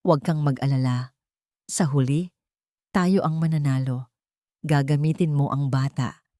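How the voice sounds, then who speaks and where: A woman's voice: low voice, steady tone, a little slow, dark tone, dry recording, clean studio sound. A woman voicing a scheming, manipulative older mother-in-law in a drama story, with a Filipino accent and an insinuating manner. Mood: scheming reassurance. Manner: falsely sorrowful, insinuating.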